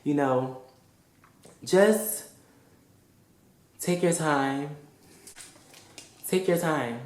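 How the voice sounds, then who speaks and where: A woman's voice: low-pitched, very slow, a little mellow, slight background noise. A woman giving frank, emphatic advice straight to her viewers, swearing freely and repeating her pleas for emphasis. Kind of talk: casual direct-to-camera advice vlog. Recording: echoey room.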